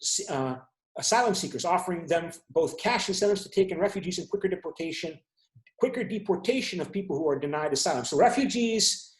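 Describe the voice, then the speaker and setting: A man's voice: high-pitched, lively intonation, brisk, echoey room, rough recording. Man lecturing a class over video call. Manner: explanatory, checks in with students often. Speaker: university lecturer.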